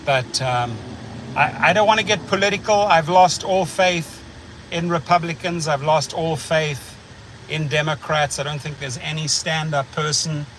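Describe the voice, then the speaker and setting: A man's voice: on the high side, unhurried, fairly bright tone, slight background noise. Blunt man with a South African accent. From United States. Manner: rambling, blunt, repeats words while thinking. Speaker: channel host. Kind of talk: casual livestream chat.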